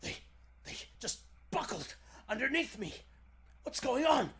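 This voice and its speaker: A man's voice: very high-pitched, sing-song, measured pace, a bit bright, a little hiss or hum, heavily acted. A man playing a bold, earnest superhero thinking aloud, declamatory and melodramatic in 1940s radio serial style. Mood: straining, alarmed.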